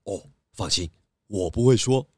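A man voicing a calm, polite pig detective who asks questions patiently in a children's audio drama. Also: on the low side, unhurried, no echo, studio quality. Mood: reassuring.

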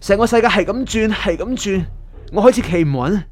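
High-pitched, dramatic Cantonese haunted writer. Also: male voice, animated, a little fast. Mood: tense recounting. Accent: Hong Kong Cantonese. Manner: dramatic, questioning, introspective. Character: anxious male writer, curious, haunted by deadly dreams. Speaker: narrator and lead character in a radio drama. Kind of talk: dramatic first-person radio drama narration. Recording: music under the voice.

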